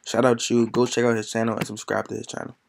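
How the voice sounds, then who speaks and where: A man's voice: mid-range voice, flat delivery, quick pace, gravelly. A teenage boy hosting a casual joking interview in a school hallway, talking into a phone. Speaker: host.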